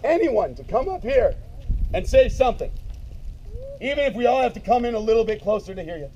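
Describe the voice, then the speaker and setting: A man speaking loudly and emphatically in a casual way, giving a eulogy at a memorial gathering. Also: very high-pitched, big pitch swings, fairly quick. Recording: dull lo-fi sound, faint noise.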